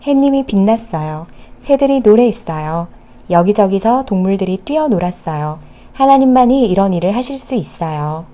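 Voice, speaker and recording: Mellow woman telling children's stories. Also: mid-range voice, expressive, normal speed. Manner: gentle, explanatory, addressing small children. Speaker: storyteller. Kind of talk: scripted children's Bible storytelling.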